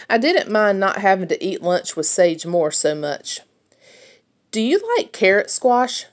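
A woman reading a children's book aloud in an expressive storytelling style. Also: lowish voice. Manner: acts out dialogue as a child narrator's story. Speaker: read-aloud reader.

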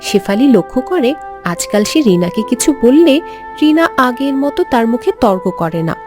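A woman's voice: fairly high pitch, sing-song, moderate pace, over music. A woman giving scripted narration for a cartoon story.

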